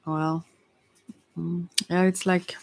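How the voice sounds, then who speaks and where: A tarot reader giving an informal ASMR reading in a hesitant, rambling way with many pauses. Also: medium pitch, little pitch movement, poor audio. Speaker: Ayurveda therapist.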